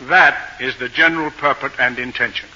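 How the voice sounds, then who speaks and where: A man's voice: medium pitch, steady tone, a little fast, sharp tone. A man acting a pompous, wordy middle-aged father with a dry, sardonic manner, in 1940s radio style. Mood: dryly sardonic. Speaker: radio drama actor. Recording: dull, faintly noisy old radio recording.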